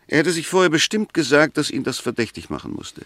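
An actor playing an insistent, reasoning defense barrister in a 1960s German radio play, arguing rhetorically and emphatically. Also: male voice, fairly high pitch, very animated, quick pace, a bit bright, dry recording. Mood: emphatic, insistent.

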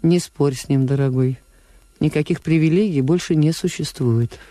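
A woman's voice: sits low, moderate pace, mellow, dry recording, close to an everyday voice. An actress playing an anxious, resigned diplomat's lady and lover in a Soviet radio drama. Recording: clearly noisy old radio production.

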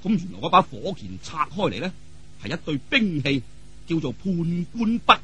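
A male Cantonese storyteller with a high voice that swings widely in pitch. His tone is bright and forceful with a husky edge, and he tells martial arts tales theatrically in colloquial style, throwing in vivid exclamations. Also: sing-song, moderate pace. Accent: Hong Kong or Guangdong Cantonese.

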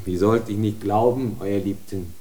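A man's voice: on the low side, fairly even intonation, normal speed, warm tone, natural voice. An actor playing a humble, frightened man accused before a church tribunal, pleading and deferential in a radio drama.

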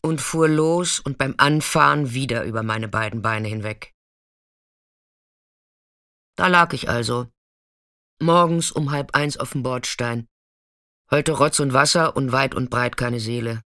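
A woman's voice: rumbling low, unhurried, pauses often, a bit bright, dry recording, clean studio sound, heavily acted. An actress playing a tough, defiant street sex worker, telling her story in a blunt, colloquial radio drama monologue. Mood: bitter, proudly stoic. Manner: colloquial, blunt, swinging from intimate recollection to agitated outbursts.

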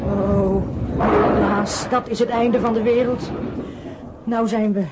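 A woman playing an excitable, good-hearted boy reporter in a Dutch radio play, stammering when flustered. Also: medium pitch, slow pace, very noisy, lightly acted. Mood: panicked.

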